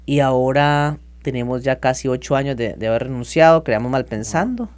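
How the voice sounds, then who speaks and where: A man in his thirties from Costa Rica, telling his story as a podcast guest in a lively, chatty way. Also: medium pitch, a little fast, clear, resonant tone. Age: 30s. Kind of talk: casual interview talk.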